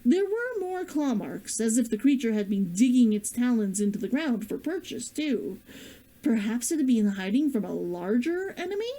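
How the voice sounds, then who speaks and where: A woman streamer and author with an expressive way of talking, chatting to viewers and reading a story aloud. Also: a little high, normal speed, rough recording. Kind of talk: chatty greeting, then storybook read-aloud.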